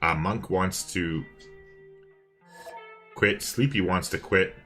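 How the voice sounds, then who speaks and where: Deep, bright-voiced man co-hosting a stream. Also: slow, soft background music. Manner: chatty, thinking aloud to viewers and chat. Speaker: co-host of a livestreamed multiplayer strategy game. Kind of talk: casual live stream co-casting.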